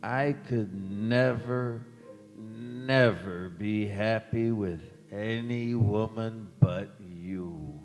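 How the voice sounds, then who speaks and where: An actor playing a frail, devoted old husband in a stage radio play, speaking haltingly and tenderly. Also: male voice, lowish voice, a little mellow. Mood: tender, contented. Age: older. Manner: slow, repetitive, halting delivery. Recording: echoey hall, music behind.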